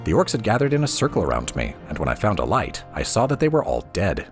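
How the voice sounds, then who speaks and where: A man reading a fantasy book aloud as expressive storybook narration over music. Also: lowish voice, fast talker. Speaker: let's play host reading in-game books aloud.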